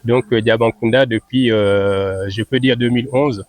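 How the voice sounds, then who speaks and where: A man who grew up in France answers questions as the president of a football club. He talks slowly and carefully, stopping often on "euh" between phrases, in a middle-pitched voice. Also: steady tone, dry recording. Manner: measured, many hesitations on "euh". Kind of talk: interview answers.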